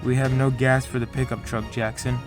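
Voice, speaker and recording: A man's voice: medium pitch, level tone, normal speed, loud music behind the voice, lightly acted. A man acting in an amateur audio drama as a level-headed, caring teammate in a battle game, speaking plainly and soberly. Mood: matter-of-fact.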